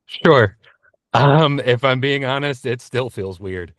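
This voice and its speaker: A man answering interview questions candidly as a voice actor, in an earnest, reflective and halting conversational manner. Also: medium pitch, a bit bright, no echo, studio quality. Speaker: interview guest, voice actor and narrator.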